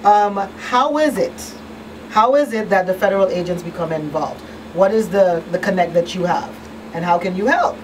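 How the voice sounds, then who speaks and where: A woman's voice: on the low side, moderate pace. A middle-aged woman hosting a radio talk show, interviewing guests in a conversational way. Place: small radio studio. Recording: distant mic, clear room hum.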